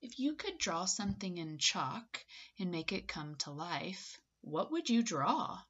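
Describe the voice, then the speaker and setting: Animated woman reading aloud to children. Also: fairly low pitch, slightly rough. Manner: expressive, dramatic pauses and emphasis, prompting. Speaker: teacher reading a picture book to children. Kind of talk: animated read-aloud with questions to young listeners. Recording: slightly dull webcam audio.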